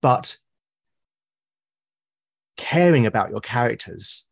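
Husky British man, earnest and halting. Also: mid-range voice, animated. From Britain. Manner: earnest, halting, repeats words. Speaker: podcast guest. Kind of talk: conversational interview.

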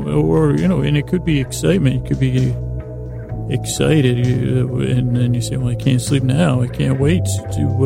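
A middle-aged American man hosting a bedtime podcast, rambling in a halting, digressive, self-deprecating way with a lulling, soothing tone. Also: medium pitch, gravelly.

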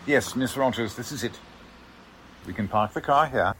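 An actor playing a polite, defensive warehouse manager in a 1940s radio drama, formal and hesitant in manner. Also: male voice, mid-range voice, normal speed, heavy background noise, close to an everyday voice. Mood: courteous, obliging. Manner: courteous, hesitant, fussily formal.

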